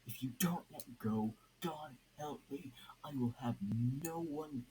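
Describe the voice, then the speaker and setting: A man's voice: medium pitch, background noise, lightly acted. Young man playing a petulant, brash crime-lord vigilante. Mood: angry, exasperated. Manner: whining, swearing, halting.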